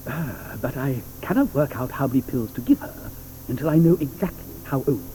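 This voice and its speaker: An actor playing an eccentric, fussy chocolatier in a studio radio play, talking theatrically and grandly. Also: male voice, medium pitch, animated, a little fast, warm tone, slight background noise, lightly acted, low-quality recording. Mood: pompous. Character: eccentric, fussy, flamboyant adult male chocolatier.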